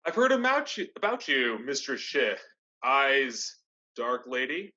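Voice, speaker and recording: A man's voice: medium pitch, big pitch swings, a little slow, bright, forceful tone. A man acting a florid, seductive pirate captain in a staged play reading, on slightly dull audio. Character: flamboyant, flirtatious male pirate captain.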